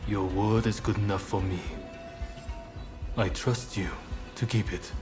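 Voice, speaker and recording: Slow, solemn samurai played by a man. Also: on the low side, fairly even intonation, over music, close to an everyday voice. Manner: solemn, measured delivery. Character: grave, dignified adult male samurai warrior. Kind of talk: video game cutscene acting.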